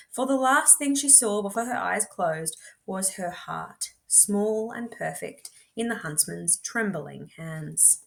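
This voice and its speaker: A woman reading a storybook aloud expressively, in a measured, dramatic way with deliberate pauses. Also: mid-range voice, vocal fry, a bit bright. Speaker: teacher reading books aloud.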